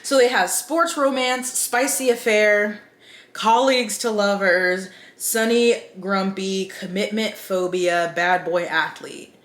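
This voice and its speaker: A woman's voice: medium pitch, slow, fairly bright tone, echoey room. A woman book vlogger giving casual, chatty opinion commentary with wry, incredulous asides.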